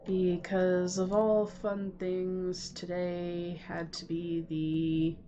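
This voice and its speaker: A woman's voice: lowish voice, little pitch movement, very slow, warm tone, lo-fi sound, a little hiss or hum. A woman with a cold, sounding sniffly and nasal, chatting casually and apologetically through a game stream from home. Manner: chatty, apologetic, with frequent ums. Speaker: Minecraft streamer. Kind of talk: casual gameplay stream chat. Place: home, with keyboard and computer sounds.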